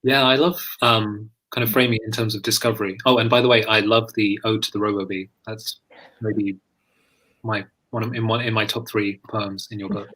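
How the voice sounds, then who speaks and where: A man's voice: lowish voice, steady tone, normal speed, fairly bright tone, low-quality recording. A British man speaking as a guest poet in a casual online conversation, thoughtful and tentative, often restarting his phrases. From London, England. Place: live online video call.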